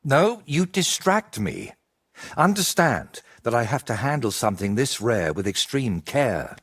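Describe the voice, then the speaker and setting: An actor playing a polite but fussy, secretive painter in a medieval game, speaking earnestly and with careful wording. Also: male voice, mid-range voice, big pitch swings, unhurried, slightly rough, exaggerated voice. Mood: flustered. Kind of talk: dramatic game dialogue acting.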